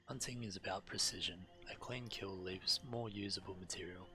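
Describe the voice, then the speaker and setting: A man's voice: low-pitched, fairly even intonation, normal speed, pushed and bright, faint music behind. A man reading game dialogue aloud on a stream, now and then stumbling over words.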